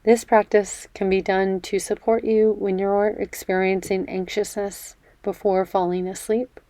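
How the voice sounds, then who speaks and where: A woman leading a guided meditation in a gentle, measured, soothing way, leaving pauses between phrases. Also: mid-range voice, flat delivery, no room sound. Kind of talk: guided meditation, body scan.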